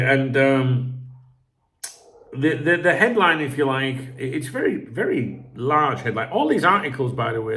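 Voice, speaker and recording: A man's voice: medium pitch, a bit bright. A man hosting a football channel, chatting casually and rambling. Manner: rambling, chatty, clears throat often, swears casually. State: sore throat, a bit croaky. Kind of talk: casual chat commentary.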